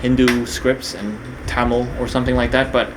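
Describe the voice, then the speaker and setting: Young man from Korea, casual unscripted talk, lots of ums and restarts, even mid pitch, steady hum behind. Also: normal speed. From Korea, raised partly in New Zealand. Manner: hesitant, many fillers and restarts. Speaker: video creator.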